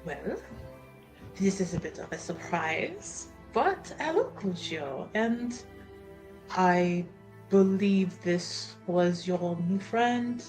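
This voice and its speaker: A woman's voice: fairly low pitch, big pitch swings, takes it slowly, a little mellow, soft background music, rough recording. A woman voicing a composed, gracious noblewoman with a polite, formal manner in a tabletop fantasy game. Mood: polite surprise.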